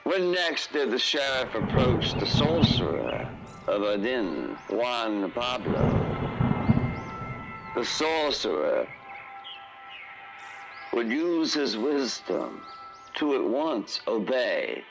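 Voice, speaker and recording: A man narrating a fantasy tale in radio-theatre style, grandiose and deliberate, with long pauses. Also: medium pitch, very animated, vocal fry, rough recording. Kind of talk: dramatic scripted fantasy storytelling, old radio theatre. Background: music under the narration.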